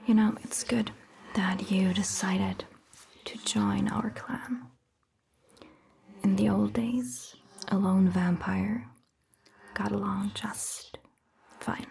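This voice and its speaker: A woman performing a soft-spoken ASMR roleplay as a caring vampire doctor, whispering breathily in a gentle, reassuring manner. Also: mid-range voice, steady tone, vocal fry, a little hiss or hum. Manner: gentle, reassuring, slow and deliberate.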